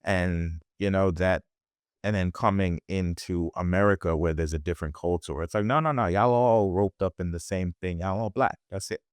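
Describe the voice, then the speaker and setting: A man's voice: low voice, normal speed, clean tone, dry recording, clean studio sound. A man from Jamaica, a guest on a podcast, talking in a reflective way with frequent restarts. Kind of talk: conversational interview.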